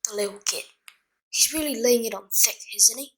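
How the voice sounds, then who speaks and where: A woman's voice: a little high, normal speed, close to an everyday voice. A woman playing a blunt, indignant schoolboy with a grumbling delivery.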